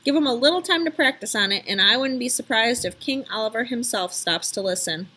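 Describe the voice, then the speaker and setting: A woman's voice: on the high side, lively intonation, brisk, sharp tone. A woman voicing a seasoned, kindly pawn shop man from a storybook, with an emphatic, folksy, persuasive way of talking. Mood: enthusiastic selling. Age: middle-aged or older.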